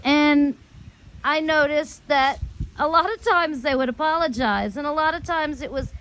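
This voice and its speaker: American lady rambling thru personal stories, high voice, really slow and halting w lots of pauses. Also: fairly bright tone, slight background noise, poor audio. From United States. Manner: drawn-out, halting phrasing with long pauses. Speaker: storyteller host.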